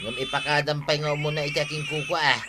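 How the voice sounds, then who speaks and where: A man's voice: fairly high pitch, level tone, fast, very noisy, natural voice. An actor playing a blustering, argumentative village man in an Ilocano radio comedy drama, speaking with an Ilocano accent. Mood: nagging.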